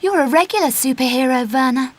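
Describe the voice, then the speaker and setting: A woman's voice: sits high, fairly quick, close to an everyday voice. An actress playing a confident, sardonic teenage girl adventurer with a British accent, teasing dryly in a video game. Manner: dry, teasing, sarcastic. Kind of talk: video game cutscene acting. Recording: long cavernous reverb.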